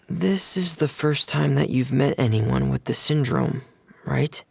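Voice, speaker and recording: A man voicing a gentle, reserved teenage boy in a video game, hesitant, soft-spoken and careful. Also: medium pitch, expressive, takes it slowly, gravelly, husky, exaggerated voice. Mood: hesitant, somber.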